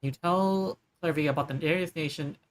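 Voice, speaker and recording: A woman's voice: low-pitched, normal speed. A woman streamer giving casual, laid-back reactions in short asides while she plays a game. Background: game music.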